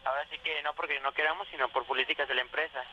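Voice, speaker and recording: A male call-centre agent with a sharp, very bright voice on a noisy, band-limited phone line. He is formal and deferential, apologising politely. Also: fairly high pitch, steady tone, racing. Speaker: bus company customer service agent. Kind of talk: customer service phone call. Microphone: telephone.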